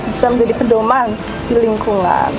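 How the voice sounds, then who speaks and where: A woman's voice: on the high side, fairly even intonation, moderate pace, low-quality recording. A young woman giving polite, deferential answers in an informal interview. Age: young adult. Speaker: flag-bearer trainee being interviewed. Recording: music playing behind the voice.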